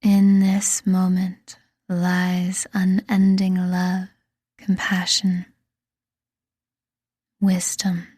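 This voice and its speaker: Slow, breathy woman guiding meditation. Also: lowish voice, monotone, darkish tone, no room sound, studio quality. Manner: soothing, deliberate, breathy delivery with pauses. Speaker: meditation guide and podcast host. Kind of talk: scripted guided meditation.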